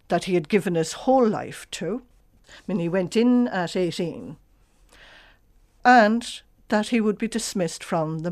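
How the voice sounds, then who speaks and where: A woman speaking as an interview guest in a serious, measured and emphatic way. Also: lowish voice, darkish tone. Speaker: theologian and author, interview guest. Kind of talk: serious, deliberate interview talk.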